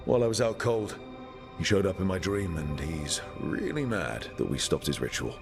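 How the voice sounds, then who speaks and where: Low-voiced, plain-spoken hero. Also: male voice, animated, normal speed, vocal fry, over music. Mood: matter-of-fact. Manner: matter-of-fact. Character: level-headed, plain-spoken male fantasy hero. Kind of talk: fantasy game acting.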